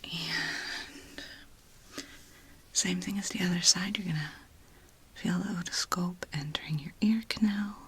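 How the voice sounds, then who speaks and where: A woman performing an ASMR role play in a breathy whisper, murmuring softly in short pieces with pauses. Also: lowish voice.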